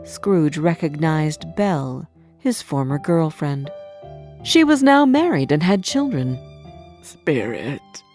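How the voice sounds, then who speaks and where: Female narrator for learners' fairy tales: lowish pitch, unhurried deliberate delivery, scripted. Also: big pitch swings, darkish tone, soft background music.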